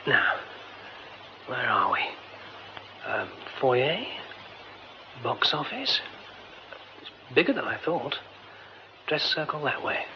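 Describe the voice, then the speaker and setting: A man's voice: medium pitch, very animated, slow, long pauses. An actor playing a young theatre man who mutters to himself wryly and stammers under strain. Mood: musing. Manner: muttering to himself, wry, later frantic stammering. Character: young male theatre man exploring a derelict theatre. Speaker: lead character. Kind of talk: radio drama acting. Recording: dull old radio recording with hiss.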